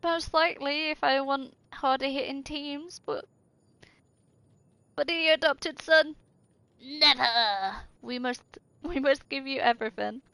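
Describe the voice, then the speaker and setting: A woman's voice: high voice, a little slow, pushed and bright, dry recording. A woman livestreamer giving casual, playful gaming commentary in a chatty, doting way. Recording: game music behind the voice. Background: video game music.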